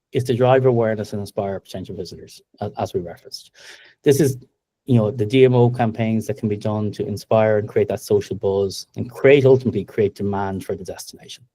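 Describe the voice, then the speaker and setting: A middle-aged Irish man giving a business webinar presentation over a video call, upbeat and steady, with frequent ums. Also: lowish voice, no echo. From Ireland. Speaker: webinar presenter, company co-founder.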